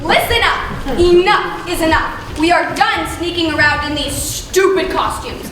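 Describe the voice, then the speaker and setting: Young woman as a shrill-pitched bossy gang ringleader. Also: measured pace. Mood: exasperated, commanding. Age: teens or young adult. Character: bossy, exasperated ringleader of bumbling crooks. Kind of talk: broad amateur stage comedy. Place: school theater stage. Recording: very echoey stage, distant mic, noisy.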